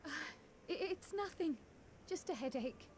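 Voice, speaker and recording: A tiny worried girl adventurer, high gentle voice, hesitant, game scene with faint ambient noise. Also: lively intonation, unhurried. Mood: worried, hopeful. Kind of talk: video game voice acting.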